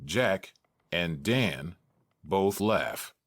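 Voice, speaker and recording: A man serves as narrator, reading scripted stage directions for an audio drama. His voice is very low and his pace is markedly slow. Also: lively intonation.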